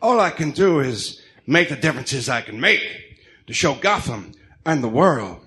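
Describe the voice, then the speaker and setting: A man voicing a broken, remorseful crime boss in a comic-book radio drama, theatrical, halting and pleading. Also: mid-range voice, big pitch swings, sharp tone. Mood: self-justifying.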